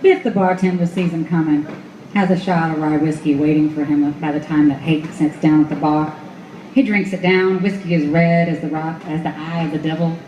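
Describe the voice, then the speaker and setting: Female noir narrator for live radio play, dark tone, roomy sound, slight background noise. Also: low-pitched, steady tone, moderate pace. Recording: echoey room, noisy ambience.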